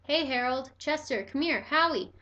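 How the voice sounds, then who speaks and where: Storybook voice: woman playing a giddy vampire boy, very high and shrill. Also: animated, moderate pace, pushed and bright, dull audio. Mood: coaxing. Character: boy turned gleeful little vampire. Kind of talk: storybook read-aloud.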